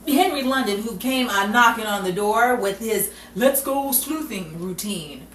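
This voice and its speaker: A woman's voice: a little high, expressive, a little slow, fairly bright tone. A woman playing a put-upon 1940s Hollywood woman, wisecracking with dry exasperation in a radio comedy style. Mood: put-upon complaining. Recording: roomy, distant mic with faint noise.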